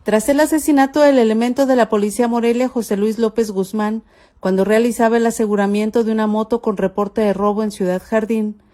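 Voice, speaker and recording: Reportera mexicana de voz oscura y suave. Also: female voice, medium pitch, warm tone. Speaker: news reporter. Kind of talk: scripted news report narration.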